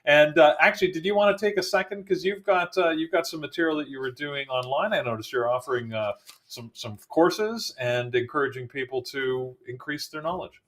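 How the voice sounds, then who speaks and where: A man's voice: fairly high pitch, fairly quick, a bit bright. A man from Canada hosting a live trading show, chatty and friendly, passing on viewers' questions. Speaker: host.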